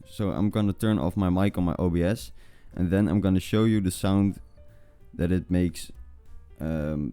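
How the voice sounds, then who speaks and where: Young male tutorial host, low dark tone, dry close sound, halting informal delivery. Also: smooth, no room sound. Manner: informal, halting, repeats words. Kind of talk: casual screen-recording tutorial.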